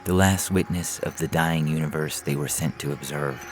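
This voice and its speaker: A man's voice: very deep voice, normal speed. A man narrating a scripted science fiction story as a podcast reader, with music behind him. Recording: music under the voice.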